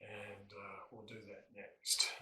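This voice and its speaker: A man giving a casual, unscripted repair walkthrough as a hobbyist host, in a halting, hesitant way with pauses. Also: fairly low pitch, monotone, pushed and bright. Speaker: radio repair hobbyist hosting a how-to video.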